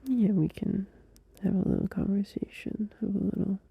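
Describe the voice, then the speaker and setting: Male performer as a tender young boyfriend at night. Slow, breathy whisper with gravelly fry, close and dry. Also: high-pitched, steady tone, dry recording, dull audio, heavily acted. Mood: tender, sleepy. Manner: soft drowsy whisper, unhurried, with small laughs. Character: gentle, loving young boyfriend soothing a partner at night. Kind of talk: ASMR audio roleplay. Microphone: close mic.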